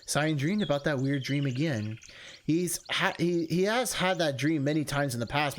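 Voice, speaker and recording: Streamer with a very lively pitch. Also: slight background noise. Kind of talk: visual novel read-aloud with casual asides. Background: music.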